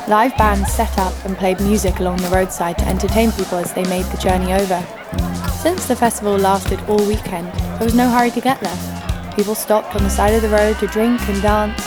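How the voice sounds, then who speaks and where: A woman acts out a brash young female adventurer for an audio drama, telling her own exploits. She is sarcastic and blunt, with a mid-pitched voice and music playing underneath. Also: close to an everyday voice. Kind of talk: first-person audio drama narration.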